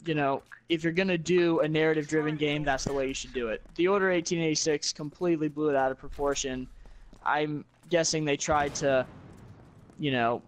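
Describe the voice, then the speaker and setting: A man's voice: on the high side, a little hiss or hum. A man giving blunt, opinionated takes on video games as a guest in casual talk.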